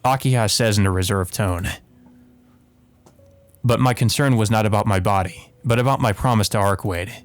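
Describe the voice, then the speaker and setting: A man's voice: lowish voice, moderate pace, pauses often. A man hosting a let's play, reading visual novel narration aloud and adding casual commentary. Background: music behind the voice.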